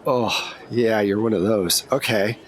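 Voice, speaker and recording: A man's voice: lowish voice, fairly even intonation, unhurried, lightly acted, low-quality recording. A man playing a breezy, blunt government agent handler in a tabletop game, briefing in a casual, wry and reassuring way. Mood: teasing.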